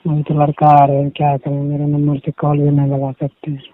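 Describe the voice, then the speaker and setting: A tinny, narrow phone line carries a young man. His voice is a bit higher than most men's, and he talks insistently and pleadingly, as in a private call. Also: monotone, fairly quick, no echo.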